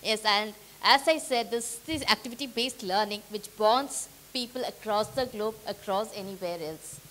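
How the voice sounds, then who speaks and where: A woman leading an interactive training session in a meeting hall. She rallies the audience with energetic questions. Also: mid-range voice, normal speed, slight background noise. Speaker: workshop trainer.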